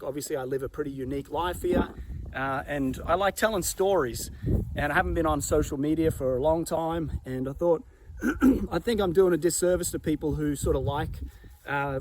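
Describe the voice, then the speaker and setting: A man with an Australian accent, speaking in a relaxed, chatty way full of "uh" and "like" as he walks around his rural farm for a vlog. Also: on the high side. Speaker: vlogger. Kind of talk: casual walk-and-talk vlog. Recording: loud outdoor background noise.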